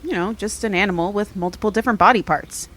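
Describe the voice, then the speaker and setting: A woman's voice: mid-range voice, fast talker, fairly bright tone, lightly acted. A woman playing a level-headed, knowledgeable young woman in an audio drama, speaking in a dry, matter-of-fact way. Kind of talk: audio drama dialogue, conversational.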